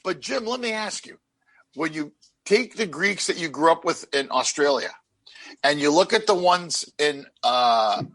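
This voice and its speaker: A man's voice: fairly high pitch, expressive, a little slow, a bit bright. A man from Canada hosting a casual interview, an eager questioner who keeps repeating his points. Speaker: interview host.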